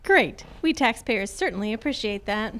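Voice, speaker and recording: Sassy high-voiced woman detective, acted by a woman. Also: lively intonation, fairly bright tone, a little hiss or hum. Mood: teasing. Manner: wry, brisk, teasing. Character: sassy female private detective, quick with wry comebacks. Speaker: amateur radio club performer. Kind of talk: old-time radio detective drama acting.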